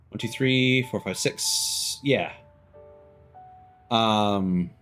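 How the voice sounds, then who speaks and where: A man giving casual, rambling and candid live-stream commentary as a game streamer, with a dryly critical manner. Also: on the low side.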